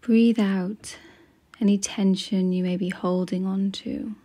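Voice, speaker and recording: A woman guides a meditation in a hushed, breathy voice. Her delivery is slow and soothing, with long pauses between phrases. The pitch stays in a middle range with very little movement, giving an even, gentle flow. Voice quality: soft, breathy, often near a whisper.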